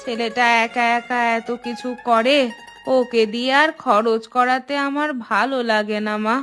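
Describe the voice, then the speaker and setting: Woman as a slow, frail elderly village mother-in-law. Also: on the high side, steady tone, fairly bright tone, soft background music. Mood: weary, worried. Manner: slow, drawn-out, plaintive delivery. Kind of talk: Bengali cartoon voice acting.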